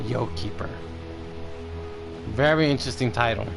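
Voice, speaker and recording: A man's voice: mid-range voice, normal speed, gravelly, music in the background. A man streaming a video game, giving casual live reaction commentary.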